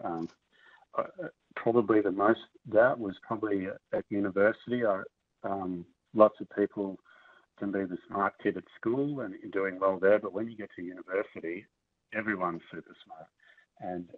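Deep husky man on tinny call audio. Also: no room sound, far from the mic. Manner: relaxed, hesitant, filler-heavy. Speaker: scientist giving a talk. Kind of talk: online forum talk and Q&A. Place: home study with bookshelves. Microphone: webcam on a video call. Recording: tinny phone-like video call audio from off the mic.

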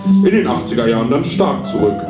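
A man playing a lecturing know-it-all in a live comedy radio play, speaking in a measured way. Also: medium pitch, big pitch swings, lightly acted. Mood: lecturing, smug. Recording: echoey live stage recording. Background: loud plucked guitar music.

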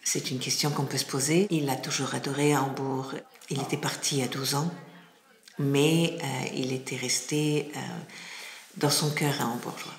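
Woman interviewee, slight foreign-sounding accent in French, deep register, pauses to find words, echoey room. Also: fairly even intonation. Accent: slight, hard-to-place foreign accent in French. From France. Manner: halting, searching for words, pausing often. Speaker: classical music impresario being interviewed. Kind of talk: reflective interview answers. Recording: roomy, echoing indoor sound.

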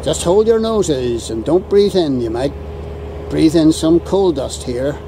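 A Northern Irish man filming a harbour outdoors, ranting sarcastically in an exasperated, drawn-out way. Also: fairly high pitch. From Northern Ireland. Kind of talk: ranting handheld commentary. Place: outdoors on a windy harbour quay. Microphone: camera mic while filming. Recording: outdoor camera audio with steady wind and traffic noise.

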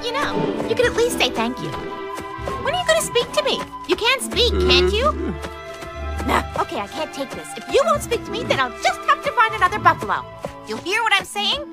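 An actor voicing a small, talkative, excitable cartoon bird, exclaiming and pleading in a dubbed animation. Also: extremely high pitch, brisk, exaggerated voice. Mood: exasperated. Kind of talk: animated cartoon dub acting. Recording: loud music behind the voice.

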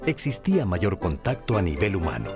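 Mexican male documentary narrator, low voice, animated intonation, music behind. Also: a little fast. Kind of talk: scripted narration.

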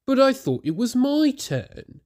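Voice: sits high, sing-song, unhurried, a little mellow, voice pushed up. A storybook narrator voicing a kind, reassuring young male engine. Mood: earnest.

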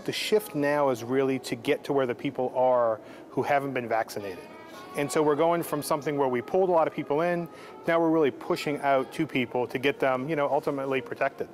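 A middle-aged man speaking for a health system in an interview, explaining plans in a brisk way. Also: medium pitch, soft background music.

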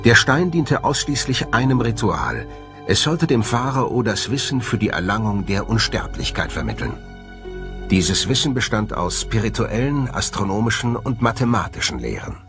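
A man's voice: fairly low pitch, big pitch swings, normal speed. A man delivering scripted narration for a documentary. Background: background music.

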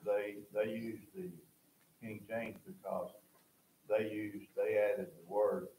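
A man with an Oklahoma accent, a class member, adding a halting, informative comment from the audience. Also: lowish voice, level tone, warm tone. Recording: distant in a roomy room, faint hum.

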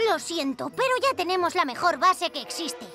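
A woman with an accent from Spain playing an eager young boy superhero in a cartoon dub, earnest, excitable and a little insecure. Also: very high-pitched, moderate pace. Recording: cartoon music behind.

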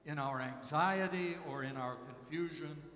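An older man preaching a sermon from a pulpit, with measured, slowly repeated emphasis. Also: fairly high pitch, pushed and bright. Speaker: pastor. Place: large church sanctuary at a pulpit. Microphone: pulpit mic, far camera. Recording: echoey church hall.

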